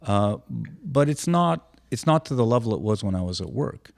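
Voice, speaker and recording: A man's voice: medium pitch, big pitch swings, normal speed, gravelly, no room sound, clean studio sound. An older retired man chatting casually as a podcast co-host, rambling with frequent restarts. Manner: rambling, many restarts, easygoing. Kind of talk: casual chat.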